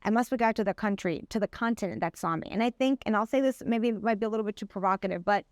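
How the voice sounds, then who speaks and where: A middle-aged woman from Colombia speaks as an interview guest, pressing her points with passion and slowing down on key words. Her voice sits at a medium pitch. The sound is dry and close. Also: dry recording. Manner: passionate, emphatic, drawn-out stresses. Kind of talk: conversational interview.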